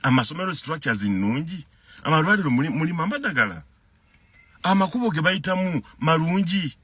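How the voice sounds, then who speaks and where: Heated Ugandan man, animated and husky. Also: a little high, fast talker, dry recording. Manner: animated, repeats words and stumbles when heated. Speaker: concerned citizen commentator. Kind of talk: impassioned political rant to camera.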